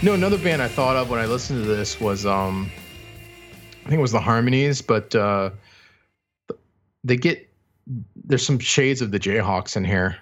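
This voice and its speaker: A man's voice: medium pitch, lively intonation, normal speed, pauses often, faint music behind. A man talking casually as a podcast co-host about records, feeling out his phrasing as he speaks. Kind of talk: casual album discussion.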